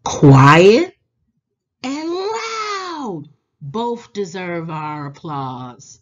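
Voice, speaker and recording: A woman reading a children's storybook aloud in a deliberate, animated manner, drawing out words and pausing. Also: medium pitch, muffled recording. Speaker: children's book read-aloud host. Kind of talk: expressive storybook read-aloud for young children.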